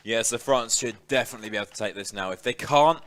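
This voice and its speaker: A man's voice: medium pitch, quick pace, fairly bright tone. A man giving color commentary on an esports match, with casual analysis and dry, joking asides.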